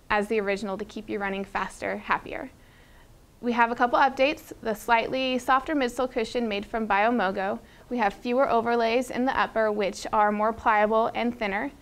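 A young woman with a voice a little higher than most presents sports gear in a studio. She reads her script in an upbeat, steady way, stumbling on a word now and then. She is picked up by a clip-on lapel mic. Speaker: retail product presenter. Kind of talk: scripted product rundown. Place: studio with a plain grey backdrop.

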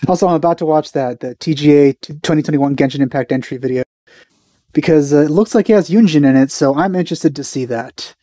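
A man in his thirties giving casual, low-key reaction commentary as a video host, close on the mic. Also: quick pace, dark tone, no room sound. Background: faint music.

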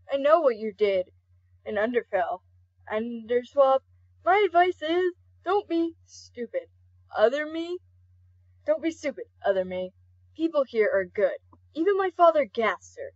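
Woman voicing casual, scolding skeleton guy, lively pitch. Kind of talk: amateur comic dub acting. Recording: dull lo-fi home recording.

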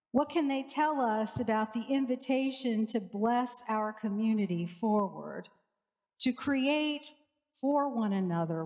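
A woman in her forties, a pastor, preaching a sermon in a slow, measured way with rhythmic repetition, on a headset mic in a church sanctuary. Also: on the high side, darkish tone. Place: church sanctuary with large lake-view windows. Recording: reverberant church hall.

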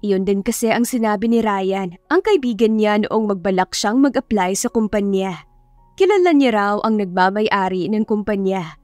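A woman's voice: mid-range voice, moderate pace, a little mellow, no room sound, faint music behind. A woman with a Filipino accent narrating a scripted story in a dramatic storytelling style. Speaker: audiobook narrator.

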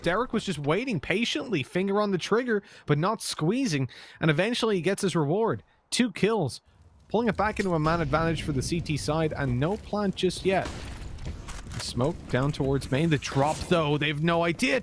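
A man with an Irish accent softened by American tones, casting a competitive shooter match with chatty commentary that climbs with the action. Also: background noise. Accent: Irish, with an American lilt. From Ireland. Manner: chatty, laughs easily, rises in pitch with the action. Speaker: esports caster. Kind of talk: play-by-play and color commentary.

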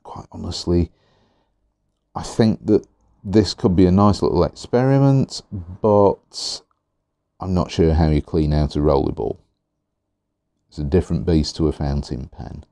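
A man reviewing fountain pens in an easygoing, chatty way, a little hoarse, with fillers and repeated words. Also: low voice, unhurried, long pauses, warm tone, no echo. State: slightly hoarse. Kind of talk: relaxed product review. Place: indoors at a desk. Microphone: overhead camera on the hands, speaker not in frame.

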